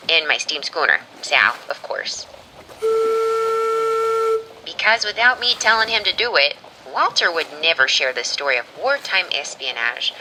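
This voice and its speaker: A woman playing a brash, showy steamboat owner pitching her business in a radio drama sponsor spot. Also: sharp tone, a little hiss or hum, full character voice, poor audio.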